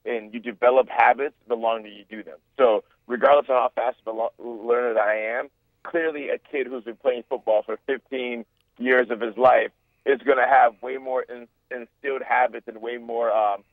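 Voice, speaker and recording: A man's voice: mid-range voice, fairly even intonation, moderate pace, no echo. A young man from Zimbabwe, a guest on a talk show, answering in earnest, rambling sentences with many restarts. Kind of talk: phone-in interview. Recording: tinny phone line.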